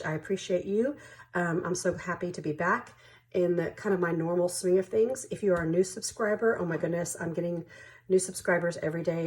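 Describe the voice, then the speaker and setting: A woman's voice: lowish voice, darkish tone, low-quality recording. Allergy-stuffy woman vlogger counting cash. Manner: friendly, apologetic, laughs easily, counts money aloud. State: congested with allergies, sniffling and coughing. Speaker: budgeting channel host. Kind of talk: casual chatty vlog, counting cash.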